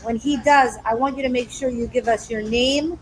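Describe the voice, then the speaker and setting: A woman's voice: on the high side, fairly even intonation, moderate pace, rough recording. A woman hosting a live talk show, upbeat and chatty, speaking directly to her studio and online audience.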